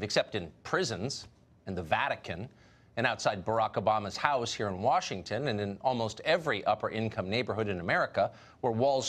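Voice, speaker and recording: A man in his forties hosting a television news show, delivering a mocking scripted monologue from a studio on a lapel mic. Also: on the low side. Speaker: news host.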